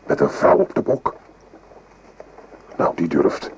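An actor playing a wary, wry and commanding French corporal in a radio play, questioning briskly. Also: male voice, a little mellow, close to an everyday voice. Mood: alert, decisive. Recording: noisy old radio drama recording.

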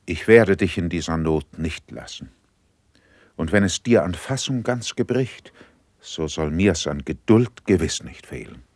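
A man's voice: low-pitched, expressive, darkish tone, no room sound, full character voice. An actor playing a grave, composed, patient statesman, speaking solemn verse in a classical radio play. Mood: firm, consoling. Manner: measured, solemn, declamatory.

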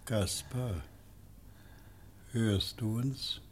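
An actor playing a sober, concerned householder in a radio drama, subdued and deliberate. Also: male voice, fairly low pitch. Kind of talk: German radio drama acting. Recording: faintly noisy old radio recording.

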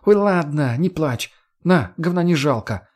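A man's voice: a little high, expressive, measured pace, a little mellow, voice pushed up. A man voicing a brash, sarcastic, foul-mouthed young woman in an audiobook, slangy and argumentative. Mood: bossy. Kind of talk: audiobook character voice.

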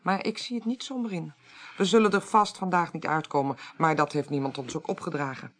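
An actress playing a calm, level-headed clerk to a chamber president in a Dutch radio drama. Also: female voice, low-pitched, fairly quick. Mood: calm, reassuring. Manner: composed, businesslike, reassuring.

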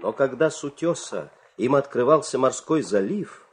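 A man narrating a fairy tale in a measured, expressive, theatrical storytelling style. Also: mid-range voice, slight background noise. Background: music.

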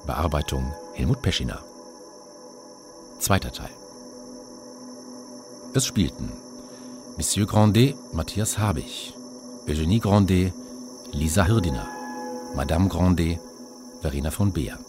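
Man, announcer, very low pitch, scripted radio drama credits, music underneath. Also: animated, a little slow, long pauses.

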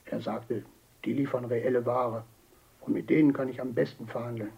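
An actor playing an elderly butcher giving blunt, matter-of-fact eyewitness testimony in a documentary radio drama, with a husky voice. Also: male voice, mid-range voice, steady tone, moderate pace, dull audio.

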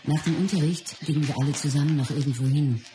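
A reflective woman played by an actress remembers the man she loved, with loud string music behind her. Her delivery is measured, scripted memoir narration in a radio play. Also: deep voice, steady tone, close to an everyday voice. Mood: fond, reflective. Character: reflective wife remembering her martial artist love.

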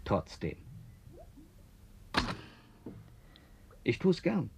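An actor in a German radio drama playing an eccentric, grandiose writer who swings from hushed to exclaiming. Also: male voice, mid-range voice, wildly swooping pitch, a little slow, creaky, very noisy, full character voice. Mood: solicitous.

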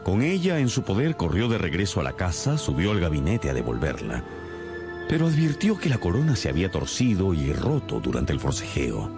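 An actor playing a shrewd, self-assured detective in a radio drama, explaining things in a deliberate, theatrical manner. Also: male voice, low voice, wildly swooping pitch, over music, heavily acted. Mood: assured, explanatory.

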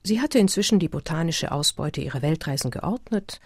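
A woman narrating a scripted literary radio piece in a measured, composed manner. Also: low-pitched, expressive, darkish tone, husky, dry recording, clean studio sound.

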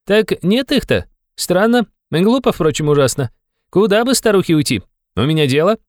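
A man voicing an excited, quick-witted very young man with a ringing, eager way of explaining in an audiobook of a classic novel. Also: high voice, no echo, clean studio sound, voice pushed up. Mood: cheerful, puzzled.

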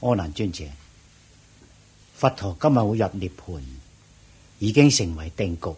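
An actor playing a sensible, dutiful monk in a radio drama, speaking earnest, formal Hong Kong Cantonese in a husky voice. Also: male voice, on the low side, animated, measured pace, a little mellow, full character voice. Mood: urging, composed. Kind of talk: Buddhist radio drama acting.

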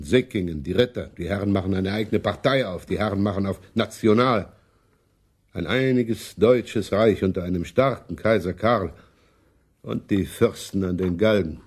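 A man playing a scheming, self-satisfied older prince in a radio drama, with a husky voice. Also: deep voice, a little mellow, heavily acted. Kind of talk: German radio drama acting.

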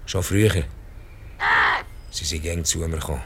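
A deep-voiced old Swiss man, rich, ruthless and ailing. He confesses in a halting, blunt, world-weary way, short of breath, over clearly noisy ambience in a radio play. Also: fairly even intonation. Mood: weary, indifferent. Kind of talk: radio drama acting in Swiss-coloured German.

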